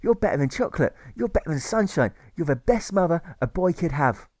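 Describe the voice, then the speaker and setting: Guy reading as a sweet earnest boy, halting, thankful, audiobook story, dry sound. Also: a little high, big pitch swings, a little mellow, no room sound, pitched above the performer's own voice. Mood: hesitant, grateful. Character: grateful, hesitant, affectionate young boy.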